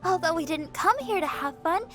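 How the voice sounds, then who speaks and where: Very high, cheerful teenage girl. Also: animated, brisk. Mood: giggly. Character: sweet, cheerful, dreamy teenage girl, happy-go-lucky. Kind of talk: animated video game voice acting. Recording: music behind.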